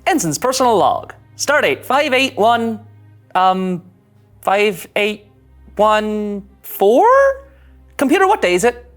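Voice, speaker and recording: A woman voicing an anxious, rule-following young male starship ensign in a scripted animated sci-fi read, fretful and earnest. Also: mid-range voice, slow pace. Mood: troubled, confessional. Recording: music under the voice.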